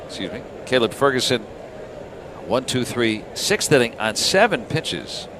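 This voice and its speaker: A man calling baseball play-by-play on live radio in unhurried, clipped phrases. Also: medium pitch, sing-song. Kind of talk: live radio sports commentary. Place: baseball ballpark broadcast booth. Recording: clear crowd and ambient noise. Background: outdoor stadium ambience.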